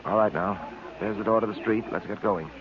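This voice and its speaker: An actor playing a calm, commanding detective in 1940s radio style, with a dry, clipped, unflappable delivery. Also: male voice, on the low side, a little fast, natural voice. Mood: dry, firm. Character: calm, commanding adult male police detective. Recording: muffled old radio recording with hiss.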